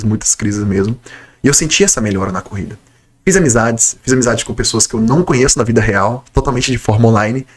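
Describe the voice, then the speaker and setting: A young man with a Brazilian Portuguese accent talks fast and eagerly straight to his viewers in a casual vlog. His voice sits at an ordinary middle pitch and has a slight nasal, stuffy quality from a cold. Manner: conversational, enthusiastic, direct address to viewers. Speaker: nutritionist vlogger. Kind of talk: casual talking-to-camera vlog.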